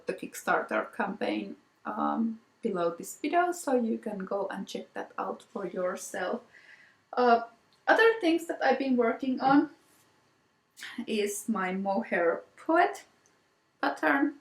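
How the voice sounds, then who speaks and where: A woman with a Finnish accent, a native Finnish speaker, hosting a casual knitting vlog, soft-spoken with frequent ums and repeated words. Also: medium pitch, reverberant. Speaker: knitting podcast host. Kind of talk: casual show-and-tell vlog.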